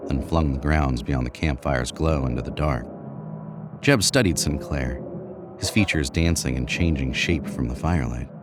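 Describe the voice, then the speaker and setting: A man narrating a scripted Western story. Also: rumbling low.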